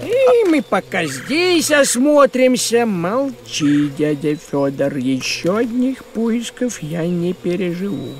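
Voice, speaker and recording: A man's voice: sits high, sing-song, slow pace, hardly any pauses, slight background noise, full character voice. A man voicing a fussy, practical farm cat in a cartoon, with a drawling manner. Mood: anxious. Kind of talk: animated cartoon acting.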